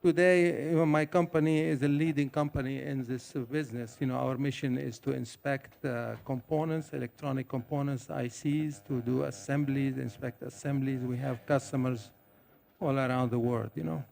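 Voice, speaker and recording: A man's voice: medium pitch, steady tone, normal speed, creaky, a little mellow. A middle-aged man from Lebanon speaking as a conference panelist, hesitant with many fillers. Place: conference hall.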